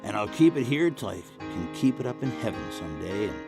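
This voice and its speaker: A man's voice: on the low side, sing-song, brisk, vocal fry. A man playing a nostalgic American war veteran, narrating his memories in a reflective, sentimental way for an audio drama. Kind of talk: first-person dramatic narration. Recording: plucked guitar music behind.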